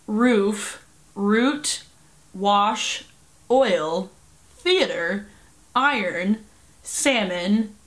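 A woman's voice: medium pitch, a bit bright. A young woman from the Seattle area, chatting casually to camera in a rambling way with fillers. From Pacific Northwest, Seattle area. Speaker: vlogger. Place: small cluttered bedroom, indoors. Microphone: camera at close range.

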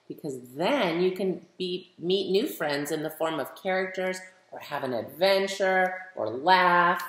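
A middle-aged American woman, a children's author, reads a picture book aloud and speaks directly to young listeners with emphatic, animated delivery. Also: sits low. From United States. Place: medium living room at home, indoors. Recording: roomy, echoey home recording.

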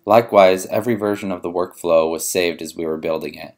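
A man reading scripted narration for a software tutorial in a measured, methodical way. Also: low voice, steady tone. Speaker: software demonstrator. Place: voice-over for a screen recording.

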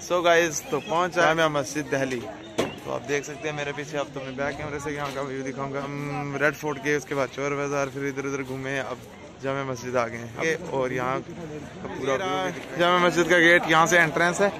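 A man's voice: fairly high pitch, steady tone, fairly quick, crowd noise. A young man from Jammu and Kashmir, narrating a casual travel vlog into a phone, pointing things out to his viewers. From Jammu and Kashmir, India. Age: young adult. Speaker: travel vlogger. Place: outdoors on busy streets, in vehicles and at monuments. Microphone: phone at arm's length. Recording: noisy city street with traffic.

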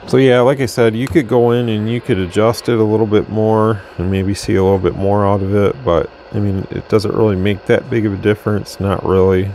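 Low gravelly guy talking thru a radio demo on his bench, faint hum behind. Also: a little fast, hardly any pauses, low-quality recording. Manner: relaxed, rambling, talks while adjusting gear. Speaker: radio reviewer. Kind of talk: casual product demo. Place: workbench with radio equipment, indoors.

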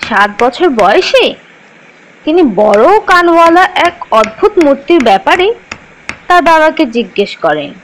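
A woman playing a young cartoon storyteller who teaches children religious tales in an expressive, instructive way. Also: high voice, measured pace, a little hiss or hum, low-quality recording. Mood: instructive, engaged.

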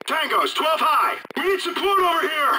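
An actor playing an urgent military squad leader in a shooter game, giving clipped, commanding orders over a radio. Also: male voice, very high voice, sing-song, moderate pace. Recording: loud noisy radio transmission.

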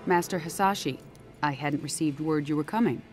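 A woman's voice: sits low, moderate pace, noisy background, lightly acted. A woman playing a stern, no-nonsense military general in a video game cutscene. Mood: firm.

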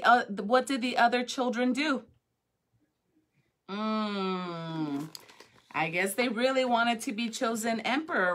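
Bright, unhurried woman educator. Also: a little high. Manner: expressive, encouraging, addresses children directly. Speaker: school educator reading to students. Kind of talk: read-aloud and chat to children. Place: small school office or classroom, indoors. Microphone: phone at arm's length.

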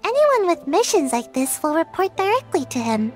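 A woman's voice: very high voice, big pitch swings, normal speed, faint music behind. A woman voicing a sleepy, lazy little girl ninja who whines and pleads. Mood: relieved. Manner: drowsy, whiny, pleading. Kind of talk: game voice acting. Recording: game score behind.

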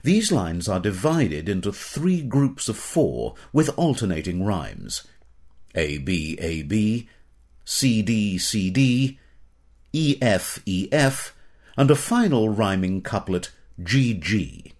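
A middle-aged British man reading scripted educational narration in a measured, formal, literary manner. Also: on the low side, very animated, darkish tone.

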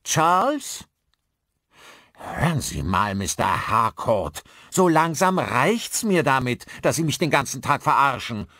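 Audiobook character: male reader as haughty, irritated male client, raised pitch, loud, curt and indignant. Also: big pitch swings, slow pace, no echo, studio quality, heavily acted.

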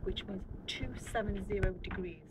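A woman's voice: medium pitch, steady tone, moderate pace. A woman giving an informal, instructive explanation to visitors as an air traffic controller, often putting questions to them. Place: air traffic control radar room, indoors. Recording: noisy room, handheld camera recording.

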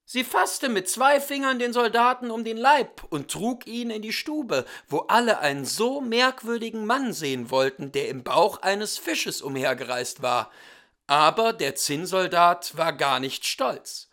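A man reading a fairy tale aloud as a storyteller, with deliberate, theatrical and expressive delivery. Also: sits high.

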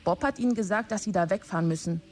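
A woman playing an aggrieved office assistant in a radio drama, confiding in a matter-of-fact way with a husky voice. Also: mid-range voice, fast, soft background music, natural voice.

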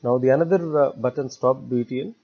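Man, medium pitch, tutorial instructor, step-by-step narration, halting short phrases, muffled lo-fi sound. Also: creaky. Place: voice-over for a screen recording. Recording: dull, lo-fi voice recording.

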